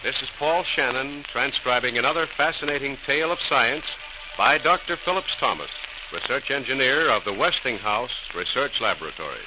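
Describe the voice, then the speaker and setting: A man's voice: medium pitch, expressive, normal speed, music in the background. A man narrating a scripted 1940s radio program as announcer, formal and dramatic. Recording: noisy old radio transcription.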